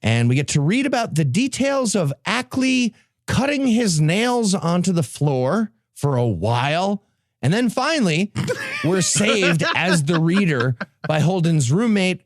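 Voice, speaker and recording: A man's voice: on the high side, big pitch swings, measured pace, dry recording, studio quality. A man hosting a comedy podcast, giving a sarcastic, profane recap to his co-hosts. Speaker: podcast host.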